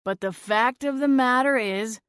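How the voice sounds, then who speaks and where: A woman voicing a gruff, dismissive male sheriff in a storybook reading, talking in an exasperated, lecturing way. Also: fairly high pitch, measured pace, a bit bright, dry recording, clean studio sound, voice pushed up. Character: gruff dismissive small-town male sheriff, long-winded.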